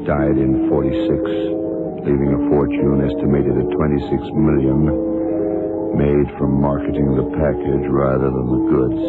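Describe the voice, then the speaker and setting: Calm blind detective, super deep, deliberate, old radio drama with loud music. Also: male voice, phone-quality sound. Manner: measured, deliberate recitation. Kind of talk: 1960s radio drama acting.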